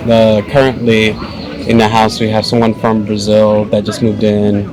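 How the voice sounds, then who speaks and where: A man's voice: lowish voice, moderate pace, rough recording. Young american guy chatting loose, lots of restarts, music playing behind. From United States. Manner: relaxed, rambling with many restarts. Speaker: interviewee, filmmaker. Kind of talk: casual interview.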